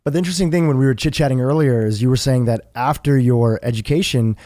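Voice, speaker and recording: A man hosting a podcast, reading a guest introduction and asking questions in a smooth, measured way. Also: mid-range voice, warm tone, no room sound, studio quality. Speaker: podcast host.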